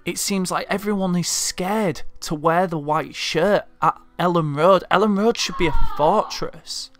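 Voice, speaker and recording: A man's voice: high voice, measured pace. A man from Leeds with a Leeds accent, delivering a frustrated, heartfelt vlog rant as a football fan. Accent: Leeds Yorkshire. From Leeds, England. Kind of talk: impassioned monologue rant.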